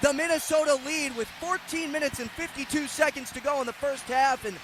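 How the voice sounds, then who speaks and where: A man's voice: very high voice, fairly even intonation, brisk. A man doing live radio play-by-play of a basketball game in clipped phrases that track the action. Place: at a basketball arena. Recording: live arena ambient noise.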